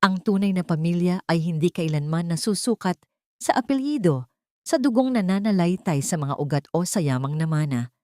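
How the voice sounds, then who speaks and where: A woman narrator voicing a gentle, wise older mother-in-law who speaks earnestly and firmly in a story. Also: lowish voice, animated, moderate pace, no room sound, studio quality, natural voice. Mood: firm, loving.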